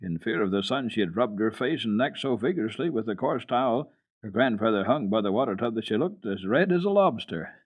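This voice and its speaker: A male storyteller with a fairly low voice reads classic children's fiction. His delivery is expressive and unhurried, in the manner of a dramatic radio reading. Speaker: storyteller, radio program host.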